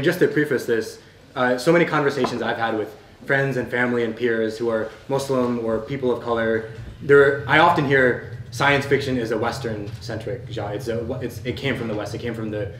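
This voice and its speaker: An American man speaking on a festival panel, quick and passionate, arguing his points with frequent restarts. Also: medium pitch, a little hiss or hum. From United States. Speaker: panelist, novelist. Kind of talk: animated panel talk. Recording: echoey room, faint ambience.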